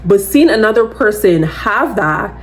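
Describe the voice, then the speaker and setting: Loud-voiced chatty young woman. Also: mid-range voice, unhurried, a little hiss or hum. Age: 20s. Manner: chatty, rambling, heavy use of "like"; animated, slips into quoting others. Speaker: podcast host. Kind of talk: casual solo chat to camera.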